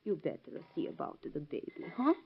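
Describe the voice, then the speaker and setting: Worried granny, broken english, gentle nagging, 1930s radio play, dull lo-fi sound. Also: female voice, on the low side, wildly swooping pitch, fairly quick, slight background noise. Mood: gently reproachful. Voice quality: broken English with extra syllables. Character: anxious, caring immigrant grandmother and mother-in-law. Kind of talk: 1930s radio drama acting. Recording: muffled vintage 1930s radio recording.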